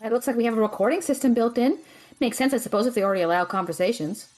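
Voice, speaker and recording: A woman's voice: a little high, very fast, a little mellow, faint music behind, lightly acted. A woman playing a practical, exasperated young female student with a skeptical, dry manner in an audio drama. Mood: practical, thinking.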